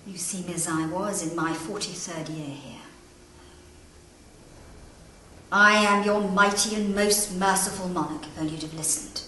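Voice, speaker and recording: An imperious, wronged queen in her forties, acted out by a woman in a grand historical monologue. Her low voice declaims theatrically, ringing around a very echoey hall, some way from the microphone, with a little noise behind. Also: moderate pace, bright, forceful tone. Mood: scornful, commanding. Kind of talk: first-person historical monologue. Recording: echoey great hall, distant mic, background noise.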